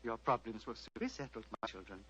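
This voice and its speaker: An actor playing a serene, fatherly old Tibetan abbot in a measured, formal radio drama style. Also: medium pitch, very animated, slightly rough. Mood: calm, fatherly. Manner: measured, formal, gently paternal. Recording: muffled vintage radio, hum and hiss.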